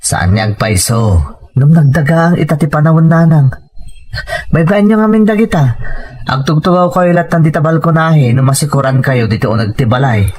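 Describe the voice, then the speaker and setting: A man's voice: a little high, animated, normal speed, a little mellow, a little hiss or hum, lightly acted. An actor with an Ilocano accent playing a hardworking, tender, worried family man in a radio drama.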